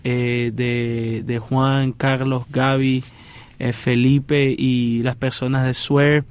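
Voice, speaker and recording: A man's voice: medium pitch, little pitch movement, slow, clean tone. A man from Venezuela, a student guest, speaking earnestly and steadily with some fillers.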